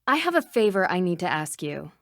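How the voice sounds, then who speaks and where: An actress playing an earnest, determined young amateur detective in a video game, polite and matter-of-fact. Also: female voice, lowish voice, very animated, a little fast, vocal fry, a bit bright, dry recording, clean studio sound, full character voice. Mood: purposeful.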